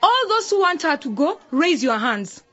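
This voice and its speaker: An actress playing a bossy young choir leader in a radio drama, on a dull lo-fi recording. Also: female voice, high-pitched, big pitch swings, moderate pace, a bit bright. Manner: bossy, insistent.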